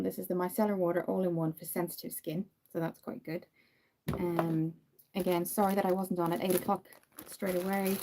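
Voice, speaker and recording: A young Irish woman from Dublin chatting casually on a livestream while doing her makeup, greeting viewers by name and filling pauses with frequent ums. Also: medium pitch, mellow. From Ireland.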